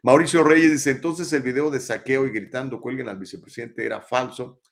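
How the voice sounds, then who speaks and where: A man's voice: medium pitch, steady tone, a little fast, creaky. A middle-aged Mexican man hosting a live talk show, casually reading viewer comments aloud and answering them. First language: Spanish.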